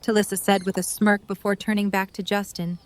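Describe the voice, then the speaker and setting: A woman's voice: mid-range voice, little pitch movement, brisk. A woman narrating scripted fiction as the narrator of an audio drama.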